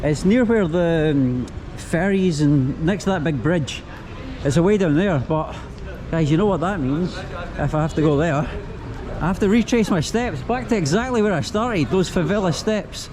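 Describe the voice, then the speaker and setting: A man's voice: fairly high pitch, moderate pace, crowd noise. A Scottish man vlogging his travels, chatting casually and talking straight to his viewers from outdoors on a city street. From Scotland. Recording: street traffic noise behind. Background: traffic and street ambience.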